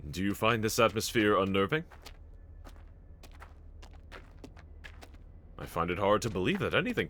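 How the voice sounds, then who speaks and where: A man's voice: fairly low pitch, pushed and bright, heavily acted. A man playing a bold, earnest, reassuring demon slayer swordsman in an anime audio roleplay, speaking with formal, courteous diction. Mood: intrigued, attentive.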